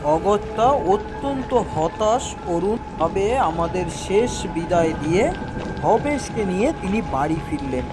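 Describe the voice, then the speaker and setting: An audiobook storyteller narrates a horror tale at an unhurried pace, with very lively intonation. The delivery is dramatic with a wry edge, and music plays clearly underneath. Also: mid-range voice. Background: background music.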